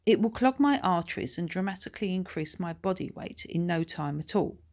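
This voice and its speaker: A woman's voice: fairly low pitch, animated, a little fast, darkish tone. A woman voicing a smug, pompous schoolboy who talks in a very formal, well-spoken way. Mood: haughty. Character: smug, pompous, newly posh schoolboy son.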